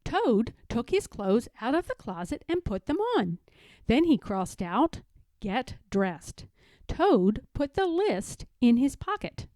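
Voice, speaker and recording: A woman narrating a children's story as a read-aloud, with expressive, deliberate delivery. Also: a little high, dry recording, clean studio sound. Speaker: storybook reader.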